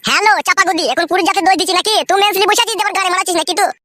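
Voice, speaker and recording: A man's voice: very high voice, steady tone, very fast, very noisy. A man voicing a whiny, foul-mouthed young husband in an amateur comic cartoon, crude and pleading in his delivery. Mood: insistent, angry.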